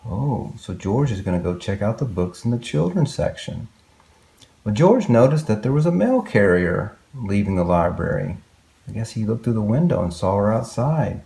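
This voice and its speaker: A man's voice: fairly low pitch, very animated, moderate pace, vocal fry, mellow. A man reading a children's story aloud expressively, with exclamations and wondering asides to young listeners.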